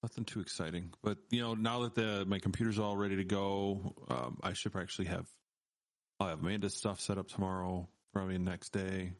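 A man's voice: sits low, steady tone, brisk, dry recording. A man co-hosting a podcast in casual chat, laid-back and rambling, swearing freely. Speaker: podcast co-host.

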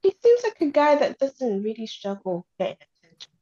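A woman's voice: high voice, sing-song, normal speed, warm tone, muffled recording. A woman co-hosting a casual podcast chat in a blunt, insistent way, recorded a little dully. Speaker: podcast co-host.

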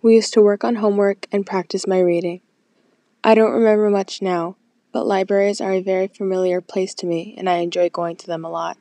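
A teenage girl, a student, gently reading a reminiscing reflection. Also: mid-range voice, steady tone, fairly quick.

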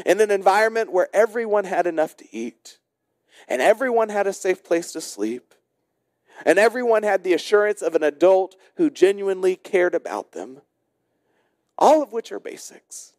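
An American man, a pastor, delivering a sermon in deliberate short phrases with rhetorical repetition. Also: high-pitched, very animated, darkish tone, no room sound. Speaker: senior pastor.

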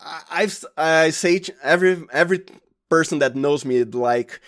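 A man with a Brazilian accent, a native Portuguese speaker, talking casually and haltingly as an interview guest. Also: a little high, a bit bright. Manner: halting, informal, often says "like". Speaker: sound designer and podcast guest.

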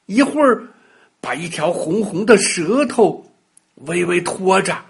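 A man telling a children's bedtime story in a dramatic, expressive way, with exclamations and sound words. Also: high voice, breathy edge.

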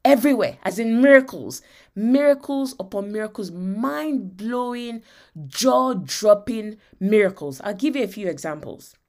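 A woman's voice: mid-range voice, lively intonation, a little slow, a little mellow. Emphatic Nigerian woman teaching on faith. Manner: emphatic, repeats phrases and checks in with listeners. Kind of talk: motivational faith teaching with personal testimony.

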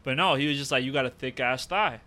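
Joking young man, bright voice. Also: medium pitch, quick pace. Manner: joking, swears freely, many fillers. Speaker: podcast co-host. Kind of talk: casual podcast banter. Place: small room at a table. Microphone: table mic.

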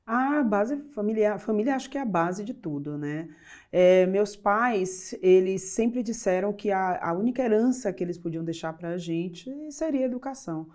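A woman's voice: medium pitch, expressive, normal speed, a little mellow. A middle-aged woman from Bahia, talking as an interview guest in an earnest, reflective way. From Bahia, Brazil. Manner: reflective, earnest, with fillers and restarts. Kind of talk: interview conversation.

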